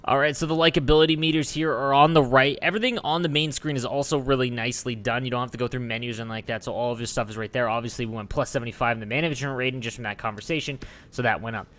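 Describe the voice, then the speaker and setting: A man's voice: mid-range voice, fairly even intonation, rapid-fire, fairly bright tone. A man giving casual gameplay commentary as the host of a gaming video.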